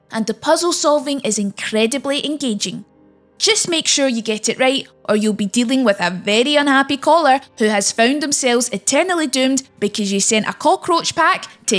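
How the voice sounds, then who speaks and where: A woman narrating a scripted video game list as its presenter. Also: sits high.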